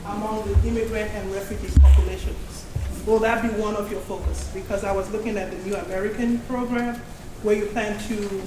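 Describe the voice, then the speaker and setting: A woman with a cold asks her question earnestly, restarting and correcting herself as she goes. She sounds distant in a roomy, echoing hall, with steady background noise. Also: medium pitch, fairly quick. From Nigeria. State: has a cold. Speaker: special education advocate, audience member. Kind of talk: public question at a community meeting. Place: community meeting hall.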